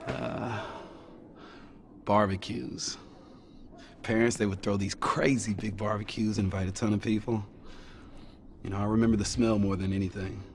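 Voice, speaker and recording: A man playing a reflective young survivor in a video game, speaking haltingly in a gravelly voice. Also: on the low side, fairly even intonation, slight background noise. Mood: wistful.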